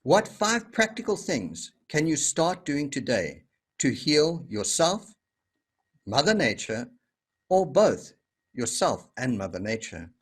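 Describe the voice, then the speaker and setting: A man hosting an online speakers meeting, introducing each guest in a measured, welcoming way. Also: medium pitch, very animated, breathy edge. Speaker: host. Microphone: video call.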